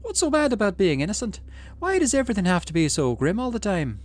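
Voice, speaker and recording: A man's voice: high-pitched, wildly swooping pitch, fast, pitched above the performer's own voice. A man voicing an anxious, innocent teenager who sounds plaintive and tries to be brave, in a game read-aloud. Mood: upset, plaintive. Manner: plaintive, wobbly, trying to hold it together.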